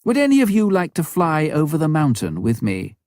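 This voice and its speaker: A man's voice: on the high side, huge pitch swings, a little fast, darkish tone, no echo, studio quality, voice pushed up. A man voicing an earnest, prayerful bush pilot in a storybook narration. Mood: eager. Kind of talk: storybook character quote in narration.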